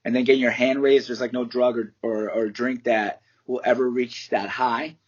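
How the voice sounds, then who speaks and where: A man's voice: medium pitch, flat delivery, moderate pace, breathy edge, highs cut off. A man, a fighter and martial arts teacher giving candid, rambling interview answers and swearing casually. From United States.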